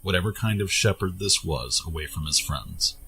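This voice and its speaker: A man's voice: deep voice, lively intonation, normal speed, sharp tone. A man reading scripted fantasy story narration as the narrator of an audio tale.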